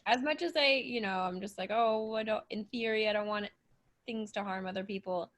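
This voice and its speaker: A woman's voice: medium pitch, a little fast, fairly bright tone, a little hiss or hum. A woman performer on a podcast, talking in casual, conversational chat.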